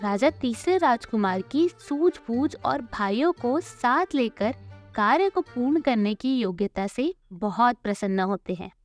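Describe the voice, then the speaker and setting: A woman with a slightly high voice tells a scripted children's story. She narrates as a storyteller, with soft music playing behind her. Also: expressive, unhurried.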